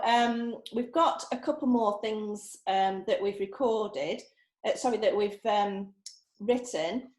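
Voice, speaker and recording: A woman casually hosting a writing group session, hesitant, with frequent ums. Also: mid-range voice. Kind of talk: casual presenting. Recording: echoey room.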